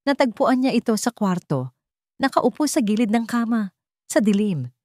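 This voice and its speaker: A woman's voice: a little high, animated, normal speed, a little mellow, no room sound, studio quality. A woman reading a scripted love story as a dramatic narrator.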